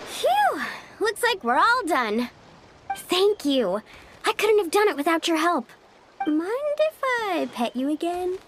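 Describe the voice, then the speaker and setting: A woman's voice: very high-pitched, very animated, takes it slowly, noisy background, full character voice. A woman voicing a bubbly, friendly young beach girl in a video game, breezy and gushing. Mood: delighted, coaxing. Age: young adult. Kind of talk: animated video game voice acting. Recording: loud background music.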